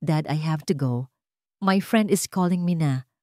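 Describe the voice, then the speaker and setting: A woman's voice: sits low, unhurried, dark tone, no room sound, studio quality. Woman playing a breezy, spoiled, self-absorbed daughter. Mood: dismissive. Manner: breezy, dismissive, Taglish with English slang. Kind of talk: audio drama storytelling.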